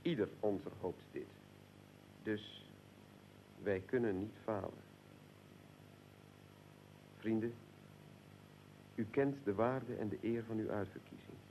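A man playing a fervent, solemn nationalist conspirator leader in a radio drama, speaking in a deliberate, emphatic, oratorical way. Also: on the low side, mellow, slight background noise, low-quality recording. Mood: determined.

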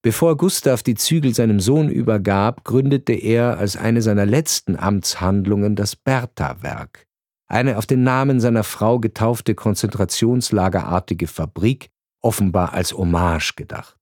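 A man reading a scripted radio narration in a grave, measured storytelling manner. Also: lowish voice, a little mellow, no echo, studio quality.

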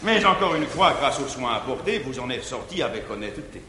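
An actor playing a jealous, domineering middle-aged guardian, declaiming French verse on a theatre stage in a 1950s live recording. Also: male voice, very animated, fairly quick, natural voice. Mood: smug. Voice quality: declamatory, booming. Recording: echoey theatre hall, faint hiss, 1959 live stage recording.

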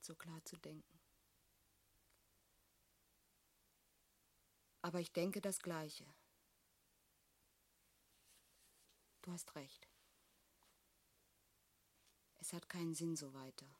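An actress playing an anxious, devoted woman in a German radio drama, husky and breathy, earnest and intimate. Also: lowish voice, steady tone, moderate pace, lightly acted. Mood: stricken, subdued. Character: anxious devoted woman, gentle, sharing his despair.

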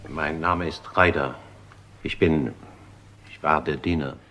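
A man's voice: extremely low pitch, fairly even intonation, takes it slowly, sharp tone, heavily acted. An actor playing a formal, deferential male servant in an old radio crime drama. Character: deferential manservant of a wealthy house.

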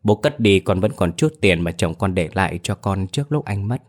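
A man's voice: deep voice, lively intonation, normal speed. A man voicing a young widowed daughter-in-law who speaks in a flustered, polite way.

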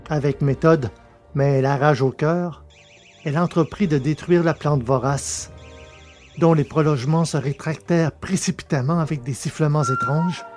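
A man reading a science fiction story aloud in a steady, measured narration. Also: on the high side. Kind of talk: scripted audiobook narration.

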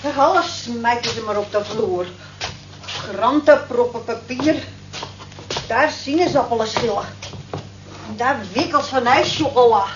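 A down-to-earth night cleaner played by a woman in a radio play, weary and given to earthy complaint. Her voice sits a little high. It comes through a dull, roomy recording with faint hiss. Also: measured pace, long pauses, close to an everyday voice. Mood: grumbling. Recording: echoey, dull, hissy old radio recording.

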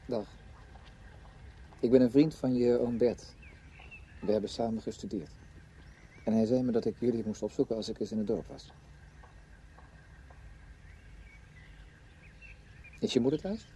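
A man acting in a radio play as a brooding, probing former student who tells his story in a measured, reflective way. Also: medium pitch, warm tone, slight background noise, close to an everyday voice. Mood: polite. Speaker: narrator and lead character in a radio play. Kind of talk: scripted radio drama, literary first-person narration.

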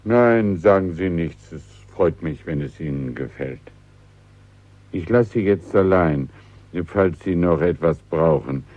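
A man's voice: low-pitched, expressive, slow, darkish tone, full character voice. A man playing an obliging, discreet shopkeeper in a German radio play, polite and apologetic. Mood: courteous, obliging. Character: obliging, discreet, courteous male shopkeeper letting a room. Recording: thin phone-like old radio recording, dry.

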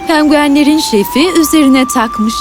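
A woman's voice: sits high, normal speed, background noise. A woman narrator reading a scripted fairy tale in storybook style.

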